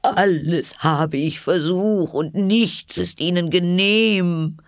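A man's voice: high voice, slow pace, gravelly, a bit bright, dry recording, clean studio sound, pitched above the performer's own voice, exaggerated voice. A man voicing a frantic, scolding female pigeon in a storybook, with a screeching, aggrieved delivery. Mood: aggrieved lament. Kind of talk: storybook character voice.

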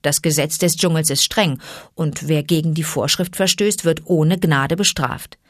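A calm, careful father wolf from a storybook, speaking in measured, explaining tones, as a woman reading aloud gives him voice. The voice is low for a woman and dry. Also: no echo.

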